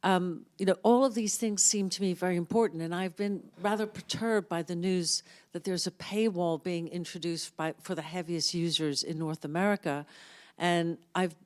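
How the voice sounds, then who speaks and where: Woman, lowish pitch, loud voice, hesitant restarts, audience question. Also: fairly even intonation, moderate pace. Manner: hesitant with many restarts, earnest. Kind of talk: public Q&A question.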